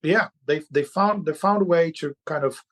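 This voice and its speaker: A man with a Brazilian accent co-hosting a relaxed music podcast. He talks in a casual, searching way, often repeating words before finishing a thought. His voice sits a little on the higher side for a man. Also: normal speed. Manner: hesitant, repeats words while searching for them. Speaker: podcast co-host. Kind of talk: casual chat.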